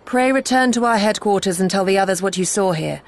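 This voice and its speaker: A woman's voice: mid-range voice, fairly quick, lightly acted. A woman playing a grave, resolute warrior retainer in a video game, with formal, archaic diction. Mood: grave, cautious. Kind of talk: video game cutscene acting.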